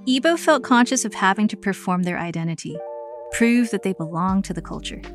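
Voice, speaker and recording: A woman's voice: mid-range voice, animated, fairly quick, music in the background. A woman with an American accent hosting a podcast, moving between scripted narration and conversational interviewing.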